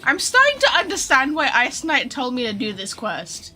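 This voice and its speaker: A woman's voice: high voice, wildly swooping pitch, moderate pace, bright, forceful tone, soft background music. A chatty woman giving casual, joking, sweary live commentary as she streams a game.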